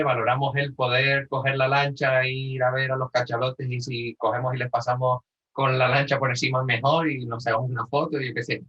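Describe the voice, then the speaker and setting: Bright, forceful man with a raspy edge. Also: mid-range voice, lo-fi sound. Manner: informal, wry, rambling. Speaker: participant in a group discussion. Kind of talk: casual opinion talk.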